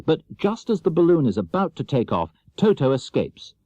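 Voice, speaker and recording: A man's voice: fairly high pitch, sing-song, moderate pace, dark tone, no room sound. A man narrating a scripted summary of a children's story. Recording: dull, lo-fi cassette transfer.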